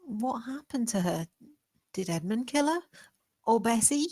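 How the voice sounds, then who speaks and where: A woman's voice: on the high side, expressive, measured pace, dark tone, no echo, clean studio sound. A woman playing a defiant female servant who questions earnestly and pointedly in a gothic drama table read. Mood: grave, probing.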